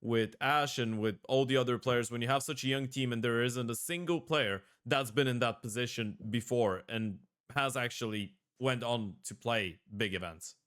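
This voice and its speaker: Male interview host, smooth tone, chatty long-winded questions. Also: mid-range voice, moderate pace, few pauses, fairly bright tone. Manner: rambling long questions, self-correcting. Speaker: podcast host. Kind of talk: casual interview.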